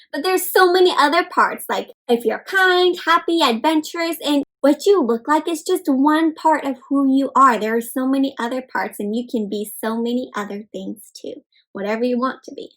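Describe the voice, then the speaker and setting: A woman reading a storybook aloud to young children, expressively and interactively, with encouraging questions in simple words. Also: high-pitched. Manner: expressive, gasps and asks listeners questions. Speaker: read-aloud host.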